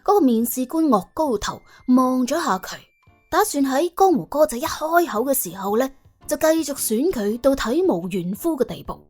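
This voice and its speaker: A woman with a Cantonese accent narrating a comic audiobook in a colloquial, wry and animated way. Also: high-pitched, normal speed, breathy edge, faint music behind.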